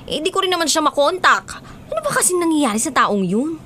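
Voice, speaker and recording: A woman's voice: sits high, big pitch swings, a little fast, pushed and bright, slight background noise, full character voice. A woman playing an earnest, hurt young girlfriend in a Filipino radio drama. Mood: worried. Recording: music bed under the voice.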